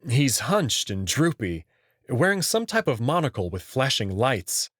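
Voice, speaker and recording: A man's voice: mid-range voice, very animated, a little slow, a bit bright, dry recording, clean studio sound. A man narrating a scripted story expressively as the host of a visual novel reading channel.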